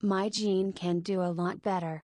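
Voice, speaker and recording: A woman in her forties voicing an anxious, possessive single mother in a narrated visual novel, speaking her thoughts in a plain, even way. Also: medium pitch, moderate pace, dry recording, clean studio sound, close to an everyday voice. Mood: fretful. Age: 40s. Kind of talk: scripted visual novel voiceover.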